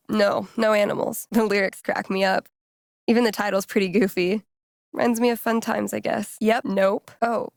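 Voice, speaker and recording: A woman's voice: mid-range voice, gravelly, no room sound, studio quality, natural voice. An actress playing a laid-back, geeky young American woman in a video game, speaking in a casual, wry, playful way. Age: young adult. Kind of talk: naturalistic video game character dialogue.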